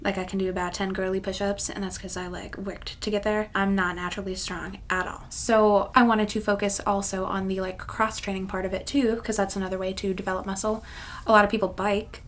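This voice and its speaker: A woman's voice: medium pitch, flat delivery, a little fast, slight background noise. A woman of about thirty-one talking casually to her viewers in a rambling, self-deprecating way. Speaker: craft channel vlogger. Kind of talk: casual chatty vlog to camera.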